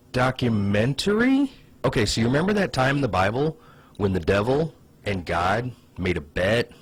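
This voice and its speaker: A man's voice: medium pitch, husky. A man giving casual comedic commentary in a conversational way, with hesitant asides and plenty of "like". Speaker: online video host.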